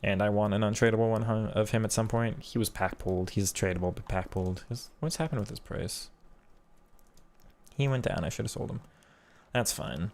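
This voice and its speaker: Low-voiced man chatting on a stream. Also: brisk, darkish tone. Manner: chatty, thinks aloud with frequent restarts. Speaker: streamer and game coach. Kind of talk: casual live-stream commentary reading chat.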